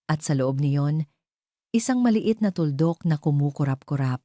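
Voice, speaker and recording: A female narrator reads a dramatic story from a script. Her voice is deep for a woman and softly dark. The sound is close and dry, with little room on it. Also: lively intonation, moderate pace, no room sound.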